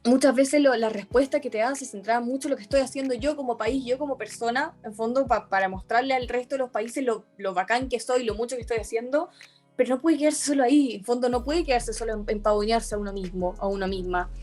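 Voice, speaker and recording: Young Chilean woman, earnest activist guest in a chat, high-ish voice, soft music bed behind. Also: fast. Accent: Chilean Spanish. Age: young adult. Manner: earnest, many restarts and self-corrections. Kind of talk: conversational interview.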